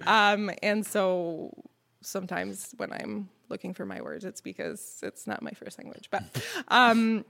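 A woman's voice: mid-range voice, big pitch swings, a little slow, creaky, a bit bright. A woman in her thirties from Montreal, a native French speaker with a French Canadian accent, chatting casually as a podcast guest and filling her talk with "like" and "you know". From Montreal, Quebec. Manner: talkative, lots of "like" and "you know". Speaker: guest, restaurant co-owner. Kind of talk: casual conversation.

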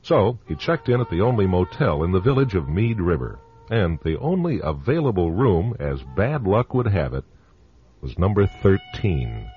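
A man with a very deep voice hosts and narrates a vintage radio suspense show. He sounds theatrical and knowing, with pauses for effect and a pitch that swings widely. The recording is dull and muffled, with faint music beneath. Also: big pitch swings. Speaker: host narrator. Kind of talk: radio drama host narration.

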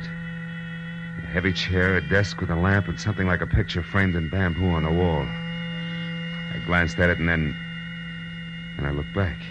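An actor playing a tough, wry private detective in a vintage radio drama, dry and terse. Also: male voice, sits low, dull audio. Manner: hard-boiled, dry, terse. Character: tough, wry male private detective narrating his case. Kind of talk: 1940s radio drama acting and narration.

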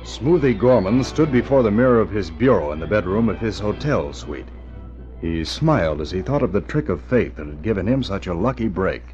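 A man's voice: lowish voice, lively intonation, fairly quick, natural voice. A man narrating a scripted radio drama in 1940s broadcast style. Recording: dull old radio recording. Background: music.

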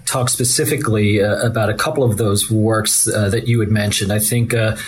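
A man hosting a magazine podcast, reading scripted links and asking measured questions of his guests. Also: on the low side, fairly even intonation. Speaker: podcast host and interviewer. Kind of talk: scripted podcast narration and interviewing.

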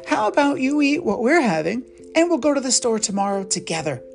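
A gentle mother from a children's storybook, put on by a woman reader, with a raised, sweet voice. She coaxes softly and apologizes kindly, and her pitch moves in a lively way. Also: a little fast, creaky, soft background music. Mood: coaxing. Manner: soft, coaxing, apologetic. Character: gentle, patient young mother. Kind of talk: storybook character voice.

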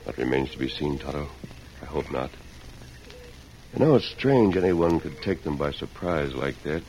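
An actor playing a calm, resolute cowboy lawman hero in an old-time radio western, speaking in a measured, formal, authoritative way. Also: extremely low pitch. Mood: hushed, thoughtful. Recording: noisy vintage radio drama recording.

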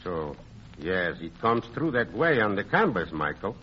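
An actor playing a fond, teasing old European art dealer in an old-time radio drama, speaking expressively and haltingly. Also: male voice, low-pitched, sing-song. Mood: gently hinting. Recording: muffled, hissy old radio recording.

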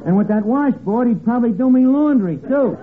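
Brash, high-pitched New barkeeper. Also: male voice, fairly quick, over a noisy crowd. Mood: haggling, sly. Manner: brash, slangy, mangled grammar, deadpan comebacks. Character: wisecracking, tightfisted lowbrow barkeeper, a man. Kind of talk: 1940s radio comedy acting. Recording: tinny, noisy vintage radio broadcast.

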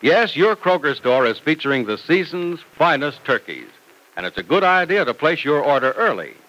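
A man's voice: on the high side, pushed and bright, low-quality recording. A man announcing in polished, emphatic 1940s radio style, with scripted narration and a salesman's delivery.

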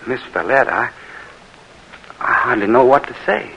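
Gung-ho country boy soldier, bright punchy voice. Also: medium pitch, unhurried, vocal fry, muffled recording, slight background noise. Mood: bashful. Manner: blunt and rustic, with folksy grammar. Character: eager young Confederate militia volunteer, bashful with ladies. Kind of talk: 1940s radio acting.

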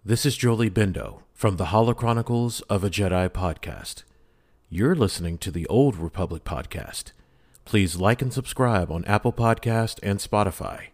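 Deep male Jedi announcer played by a man, scripted podcast promo, measured and deliberate. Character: Jedi podcaster character giving a show promo.

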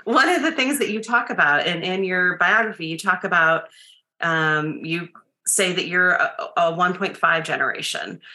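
Bright-voiced enthusiastic woman interviewer. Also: lowish voice, moderate pace. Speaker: editor and interviewer. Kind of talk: interview conversation.

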